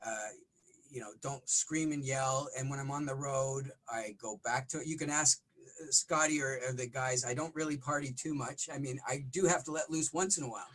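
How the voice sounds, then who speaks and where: A middle-aged man, a rock vocalist, talking in a casual interview with a smoky, raspy voice and lots of restarts. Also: medium pitch, normal speed, fairly bright tone, poor audio. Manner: rambling, frequent restarts and fillers.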